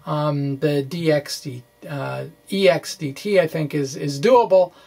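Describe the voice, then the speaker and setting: A man giving an informal physics lecture, thinking aloud haltingly with folksy, colloquial asides. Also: on the high side, gravelly, darkish tone. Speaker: physics explainer presenting his own blog.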